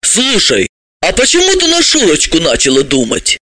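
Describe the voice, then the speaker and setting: A man's voice: high voice, huge pitch swings, moderate pace, bright, forceful tone, no echo, heavily acted. A man voicing a good-natured woman company boss who is curious and easily surprised, in audiobook dialogue. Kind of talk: audiobook character voice.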